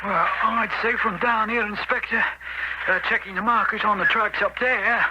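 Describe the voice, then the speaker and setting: An actor playing an eager, deferential police assistant in a radio drama, earnest and slightly stammering. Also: male voice, sits high, fairly even intonation, normal speed, lo-fi sound, lightly acted. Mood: helpful. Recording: very noisy old radio recording.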